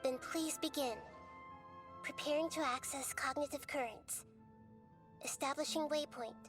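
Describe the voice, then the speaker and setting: A woman voicing a gentle, wise, childlike goddess in a video game, calm and kindly with composed authority. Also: sits high, unhurried. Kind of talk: animated video game voice acting. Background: music.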